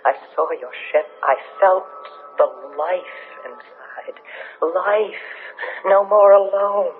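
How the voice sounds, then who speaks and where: A man playing a lonely, possessive, disembodied alien being in a 1970s radio drama, speaking in halting, commanding phrases. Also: high voice, narrow, tinny audio, slight background noise. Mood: lonely, pleading. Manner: slow, halting, commanding, repeating short phrases.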